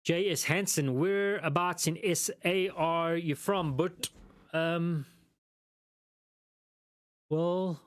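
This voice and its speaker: A man's voice: on the high side, steady tone, extremely slow, long pauses, dry recording. A man from South Africa with a South African accent, hosting a casual live stream and chatting with viewers, rambling and self-deprecating with many ums and restarts. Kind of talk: casual live chat reading viewer comments.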